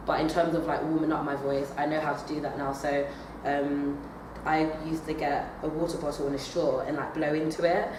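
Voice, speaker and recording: Young woman, drama student, low voice, hesitant with fillers, distant noisy echoey recording. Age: young adult. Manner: hesitant, many fillers and restarts. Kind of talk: assessment interview answers. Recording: echoey room, far from the mic, noisy.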